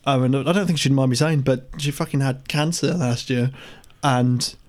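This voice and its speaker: A man's voice: mid-range voice, normal speed. A man hosting a podcast, chatting loosely with his co-hosts, swearing freely and laughing a lot, a bit tipsy. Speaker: podcast host. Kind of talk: casual banter with co-hosts.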